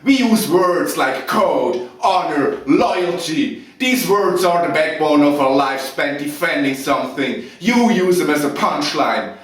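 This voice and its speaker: Proud, furious Marine commander played by a man, loud, higher-pitched, contemptuous courtroom declamation, heavily echoing room. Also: expressive, measured pace, hardly any pauses. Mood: righteous, intense. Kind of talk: dramatic courtroom monologue. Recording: very echoey room, distant mic, noise.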